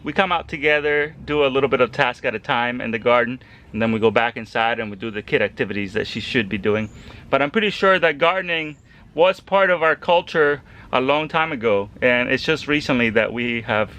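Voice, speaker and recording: A man in his thirties from El Salvador, chatting through a casual garden vlog outdoors and gently coaxing a toddler to help. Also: medium pitch, a little fast. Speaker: home gardener vlogger.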